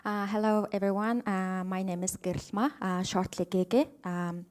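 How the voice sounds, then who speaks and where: A woman's voice: medium pitch, fairly even intonation, measured pace, a little mellow, clean tone. A woman from Mongolia, a graduate student, introducing herself hesitantly with many fillers. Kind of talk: self-introduction.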